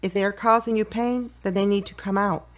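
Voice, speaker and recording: A woman's voice: medium pitch, fairly even intonation, brisk, close to an everyday voice. A woman playing a supportive, practical best friend in an audio drama. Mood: caring.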